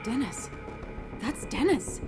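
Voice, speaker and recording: Game role: fragile, anxious woman, high voice, halting emotional delivery, prominent music underneath. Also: sing-song, heavily acted. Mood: shocked, distraught. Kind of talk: dramatic video game acting.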